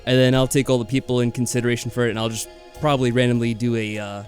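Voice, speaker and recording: A male let's play host with an average-pitched voice talks casually over soft music. He rambles a little and tends to qualify what he says as he comments on the game. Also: level tone, rapid-fire. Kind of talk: casual chat and game commentary, reading game text aloud. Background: game music behind the voice.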